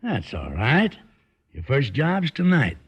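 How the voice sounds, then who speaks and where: An actor playing a sly, coaxing small-time crook in an old-time radio drama, with a husky, wheedling voice. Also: male voice, medium pitch, wildly swooping pitch, slow. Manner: wheedling, teasing drawl. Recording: dull, lo-fi old radio recording.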